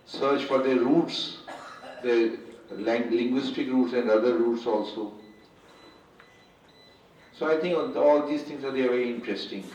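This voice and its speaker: An Indian man whose first language is Urdu gives an unscripted formal address at a symposium. He speaks in a halting way and often restarts and corrects himself. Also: mid-range voice, darkish tone. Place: large lecture hall. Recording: echoey hall, distant, muffled.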